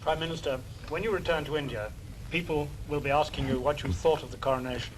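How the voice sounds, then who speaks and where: A man's voice: a little high, fast. A man acting as a press interviewer, putting direct, formal questions in a broadcast interview. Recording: faintly noisy 1950s archival broadcast.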